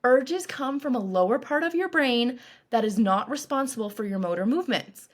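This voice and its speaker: A woman coach leading a guided meditation in a calm, reassuring, instructive way, with deliberate phrasing. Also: on the high side. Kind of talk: guided meditation, scripted narration.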